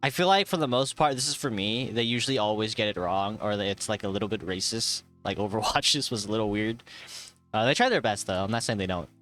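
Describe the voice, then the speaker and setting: A man's voice: lowish voice, quick pace, fairly bright tone. A young man of Thai heritage chatting casually on a live stream, reading chat aloud, swearing and laughing often. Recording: faint music behind.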